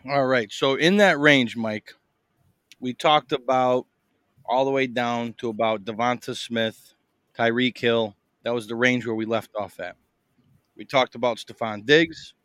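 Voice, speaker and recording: A man in a mid-range voice chats loosely through a fantasy football podcast, full of fillers and easy laughs. His voice is close and dry, with almost no room around it. He talks between bites of a meal, relaxed and unhurried. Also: medium pitch, clean tone, no room sound. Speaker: podcast co-host. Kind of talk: casual fantasy football chat. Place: in Boston, eating a meal while recording.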